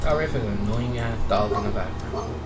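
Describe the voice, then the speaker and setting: A man's voice: on the low side, fairly quick, loud music behind the voice. A man reacting casually to a game, ranting and swearing, repeating his phrases for emphasis. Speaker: gameplay streamer reacting to a visual novel. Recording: echoey, distant mic with loud background noise.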